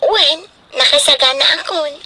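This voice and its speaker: An earnest, high-voiced young daughter. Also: female voice, unhurried, pushed and bright, a little hiss or hum, exaggerated voice. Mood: earnest, hopeful. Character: earnest, anxious young daughter studying for board exam. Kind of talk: radio drama acting.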